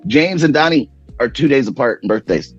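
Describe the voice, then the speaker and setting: A man's voice: mid-range voice, expressive, a little fast, faint music behind. A man in his thirties joking and swearing as a podcast guest on a video call, laughing a lot. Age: 30s. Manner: profane, joking, loud laughter. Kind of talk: casual banter. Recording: dull video call audio.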